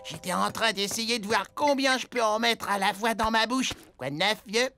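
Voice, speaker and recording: A woman's voice: on the high side, moderate pace, pushed and bright, no room sound. A woman voicing a brash, cheeky, carefree young boy in a French-dubbed cartoon. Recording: music behind the voice.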